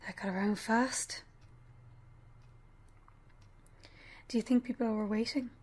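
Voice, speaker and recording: A woman acting a grieving young mother in a radio play, numb and fragile, with a hushed, breathy, hesitant delivery. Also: fairly high pitch, steady tone, close to an everyday voice. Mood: weary. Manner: hesitant, halting, subdued.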